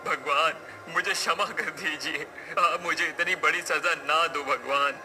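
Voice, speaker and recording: A man's voice: a little high, steady tone, unhurried, noisy background, lightly acted. A man playing a proud king in a cartoon folk tale, with formal, regal phrasing. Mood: pleading. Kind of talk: cartoon story acting.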